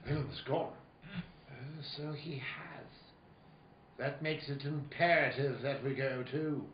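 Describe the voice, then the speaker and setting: A man playing a genial, wordy, calculating gentleman villain in a theatrical old-time radio play, pompous and courtly. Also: medium pitch, fairly even intonation, slow, close to an everyday voice. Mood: grandly determined. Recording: roomy, dull, faintly noisy live recording.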